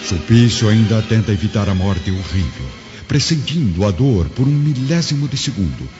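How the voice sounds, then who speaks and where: A man's voice: fairly low pitch, lively intonation, moderate pace, dull audio. A man narrating a scripted radio drama in a solemn, theatrical, emphatic manner. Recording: band-limited sound, loud music underneath.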